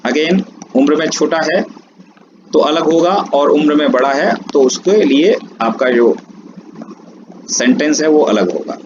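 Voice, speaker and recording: A man teaching a French lesson, explaining slowly and drawing words out. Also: a little high. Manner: slow, drawn-out explanations mixing Hindi and English. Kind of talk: online language lesson. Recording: dull lo-fi sound with steady background noise.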